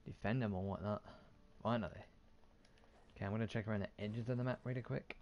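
A man's voice: lowish voice, fairly even intonation, fast, a little mellow, muffled recording, a little hiss or hum. A man giving casual, offhand gameplay commentary, muttering and mildly swearing to himself. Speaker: gaming YouTuber.

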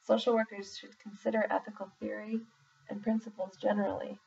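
A woman reading a formal document aloud in a measured, deliberate way, pausing between phrases. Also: mid-range voice, flat delivery, gravelly, a little mellow, husky. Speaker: reader, social worker in exam preparation. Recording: muffled, distant mic with faint background noise.

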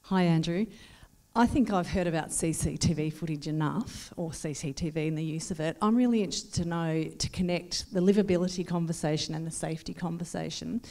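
A woman's voice: fairly low pitch, fairly quick, warm tone. A woman from the audience puts a probing, thoughtful question into a handheld mic at a public forum. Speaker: audience member asking a question.

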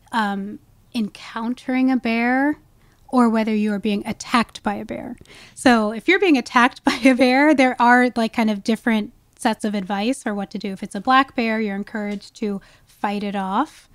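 Higher-pitched woman explaining in an interview. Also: no echo. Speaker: guest, wildlife ecologist. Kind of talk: conversational podcast interview.

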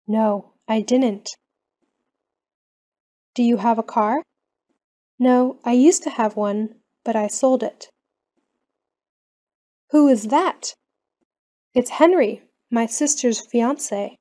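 Slow, mellow woman reading drills. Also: on the high side. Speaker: English lesson reader. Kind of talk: slow scripted question-and-answer reading.

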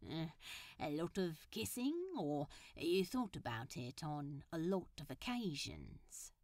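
Female narrator as male superhero, sardonic, hesitant, defensive, unhurried, dry close sound, audiobook dialogue. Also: low voice, sing-song, darkish tone, no echo, voice pushed down, exaggerated voice. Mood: shy, hopeful. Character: flustered, defensive male superhero, reluctantly lovestruck. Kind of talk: fan fiction audiobook character voice.

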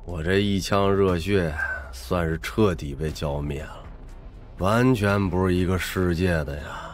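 A man voicing a world-weary, disillusioned fellow in an audiobook, rueful and gravelly. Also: deep voice, a little slow, faint music behind, pitched below the performer's own voice.

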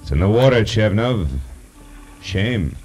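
An American actor playing a tough, wry private detective in 1940s radio noir, clipped and sardonic. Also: male voice, fairly low pitch, expressive, unhurried, darkish tone, slight background noise. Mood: sardonic, commanding. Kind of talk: 1940s radio noir acting and first-person narration. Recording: old radio broadcast.

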